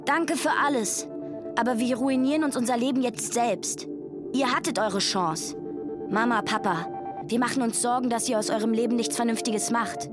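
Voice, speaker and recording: A woman's voice: a little high, moderate pace, over loud music, close to an everyday voice. An actress playing a guarded, defiant young woman activist in a radio drama. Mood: defiant, sarcastic. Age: young adult. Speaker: actor. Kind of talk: radio drama dialogue and monologue.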